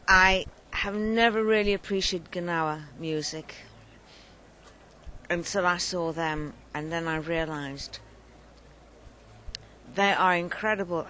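A woman co-hosting a casual podcast, her voice hoarse from shouting and singing, talking enthusiastically outdoors. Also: lowish voice, a little hiss or hum. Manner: enthusiastic, effusive. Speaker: podcast co-host. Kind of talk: casual chat. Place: outdoors at a festival.

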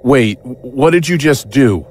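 A man acting in an audio drama as a gruff, hostile tough guy with a curt, sardonic delivery. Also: mid-range voice, animated, slow, darkish tone.

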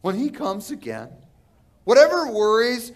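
A man's voice: very high voice, wildly swooping pitch, unhurried. A man in his thirties with a Texan accent, preaching a sermon earnestly from a church pulpit. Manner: earnest preaching with rising and falling emphasis. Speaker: pastor. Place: large church sanctuary, indoors. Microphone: pulpit microphone.

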